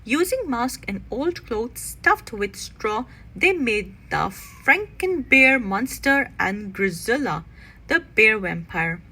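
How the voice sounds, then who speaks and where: A woman narrating a children's storybook expressively, with dramatic, deliberate phrasing. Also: on the high side, bright, forceful tone, slightly rough, poor audio. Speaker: children's read-aloud narrator.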